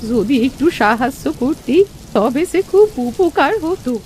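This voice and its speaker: Cartoon village grandmother, played by a woman: elderly, high voice, pleading and a little mysterious, slight noise in background. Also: expressive, takes it slowly, darkish tone. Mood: pleading, kindly. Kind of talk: cartoon story voice acting.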